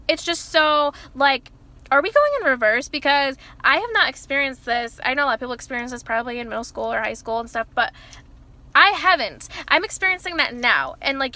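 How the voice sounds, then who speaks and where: A young woman in her early twenties talks to camera from inside her car with a loud, bright and slightly high voice. She rambles, trips over herself and keeps adding "like" and "you know". The small car cabin gives her voice a close, boxed-in sound. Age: early 20s. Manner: rambling, self-interrupting, many fillers like "like" and "you know". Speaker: vlogger. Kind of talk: casual confessional chat to camera.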